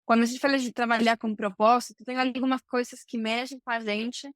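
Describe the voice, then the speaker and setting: High, clear woman. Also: level tone, brisk, dry recording, studio quality. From Argentina, Buenos Aires. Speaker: podcast guest, supply chain professional. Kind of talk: conversational interview answers.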